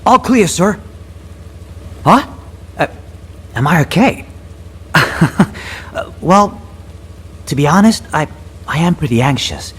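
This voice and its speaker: A man playing an anxious, awkwardly jokey young soldier in a video game, with halting, rambling speech. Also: on the high side, big pitch swings. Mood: nervous. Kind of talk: video game cutscene acting. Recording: clear ambient noise behind voice.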